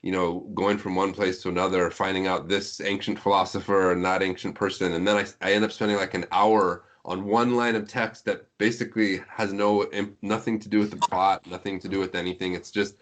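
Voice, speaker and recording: A man with a low-pitched voice chats casually as a podcast guest. He talks informally and rambles a little as he thinks out loud, explaining things in a conversational way. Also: flat delivery, fairly quick, few pauses. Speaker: guest, web fiction translator. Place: indoors at home, on a Skype call.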